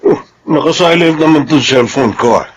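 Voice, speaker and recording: A man's voice: on the high side, animated, normal speed, slight background noise, natural voice, poor audio. A man playing an agreeable, evasive boyfriend in a Filipino radio drama. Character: agreeable, evasive boyfriend calming his jealous partner.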